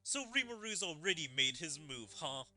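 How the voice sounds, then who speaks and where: A man voicing a proud, measured warrior king from a game, speaking gravely and deliberately. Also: on the high side, big pitch swings, pushed and bright, faint music behind, heavily acted.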